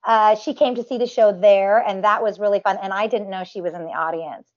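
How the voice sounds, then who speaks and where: A woman's voice: medium pitch, steady tone, fairly quick. A woman who performs as a celebrity impersonator, chatting in an interview with a nasal voice and a rambling way of talking, full of fillers and restarts. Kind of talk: casual interview chat. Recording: dull lo-fi audio.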